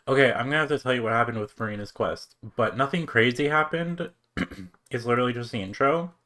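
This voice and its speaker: A man's voice: mid-range voice, brisk, sharp tone. A man livestreaming a game with casual, slangy, chatty commentary.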